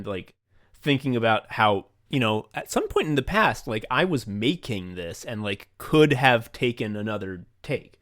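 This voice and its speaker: Male podcast co-host with very lively, swooping intonation; casual, profane, self-deprecating. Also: fairly low pitch. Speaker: podcast co-host and audio play director. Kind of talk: casual behind-the-scenes chat.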